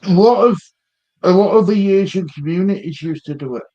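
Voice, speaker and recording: A man's voice: high-pitched, brisk, dark tone, dull audio. A man chatting casually as a panellist on a live stream. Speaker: watchalong panellist.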